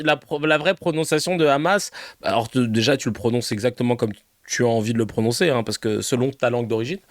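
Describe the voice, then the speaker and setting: A male streamer reacts to clips in loose, joking talk, laughing often and swearing. His voice sits slightly high, smooth and resonant. The sound is dry, close to the mic. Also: no echo. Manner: animated, laughing, swearing, mocking. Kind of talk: casual live reaction commentary.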